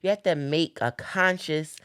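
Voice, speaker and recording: Very low-voiced woman podcast host. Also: a little slow, smooth, dry recording, clean studio sound. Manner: earnest, preacherly, repeats phrases for emphasis. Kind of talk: casual faith-based chat.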